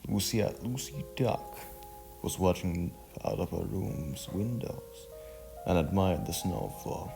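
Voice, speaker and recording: A man narrating a scripted story in a halting way, stumbling over words. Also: deep voice, lively intonation, creaky, over music.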